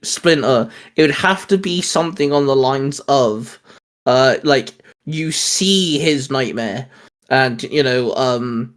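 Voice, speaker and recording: A man chatting casually as a host pitching geeky ideas, rambling and restarting his sentences as he goes. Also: slow pace. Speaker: host of a comics discussion show.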